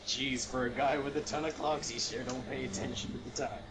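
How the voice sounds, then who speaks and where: A man's voice: mid-range voice, steady tone, brisk, lightly acted. A man reading a cocky, confident seventeen-year-old boy casually in an amateur radio play. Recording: very noisy home voice-chat recording.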